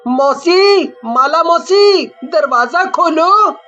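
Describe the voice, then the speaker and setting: A woman plays an eager young village boy in a cartoon story, with a high, bright voice. He speaks slowly and innocently, and the audio is slightly band-limited with music behind. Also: animated, muffled recording, full character voice. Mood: calling out. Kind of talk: Hindi cartoon story dialogue.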